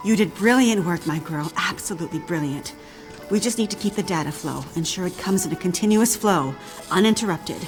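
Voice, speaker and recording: An actress playing an older woman scientist in a video game, brusque and intense, speaking in a measured, learned and emphatic way. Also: fairly low pitch, music in the background, lightly acted, low-quality recording. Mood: pleased, focused. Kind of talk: dramatic video game acting.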